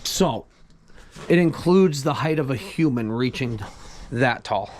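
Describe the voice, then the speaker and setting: A man's voice: medium pitch, expressive, a little slow, darkish tone. A man hosting a farming vlog, chatting casually and thinking aloud in a folksy, rambling way as he works. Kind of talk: casual chat to camera while working. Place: outdoors and around vehicles. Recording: outdoor noise, engine and vehicle sounds.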